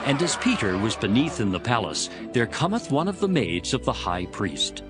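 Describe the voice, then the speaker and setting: A man's voice: medium pitch, sing-song, moderate pace, music in the background. A man narrating dramatized scripture as a storyteller between the character parts.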